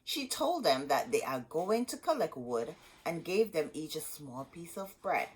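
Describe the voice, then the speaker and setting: Woman reading expressively to children, slow-paced. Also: deep voice, breathy edge, low-quality recording. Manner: animated, deliberate, speaking directly to young listeners. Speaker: storytime host reading aloud to children. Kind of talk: expressive children's picture-book read-aloud.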